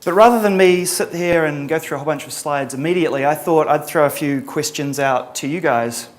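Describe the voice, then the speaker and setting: A man's voice: a little high, fairly quick. Kiwi man giving a casual conference talk. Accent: New Zealand. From New Zealand. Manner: casual, many ums and uhs, dry humor. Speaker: conference presenter.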